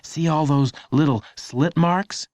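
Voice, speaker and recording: A man's voice: on the high side, slow pace, a little mellow, dry recording, voice pushed up. A man voicing an earnest young boy in a children's audiobook. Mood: proud. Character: earnest young schoolboy, modest yet proud.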